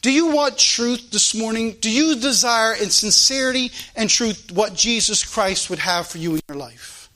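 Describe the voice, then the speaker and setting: Preacher guy going hard at a sunday sermon, high bright voice, lots of pointed questions. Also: animated, normal speed, few pauses. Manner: fervent, emphatic preaching with rhetorical questions. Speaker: pastor. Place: church sanctuary. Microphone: live stream recording.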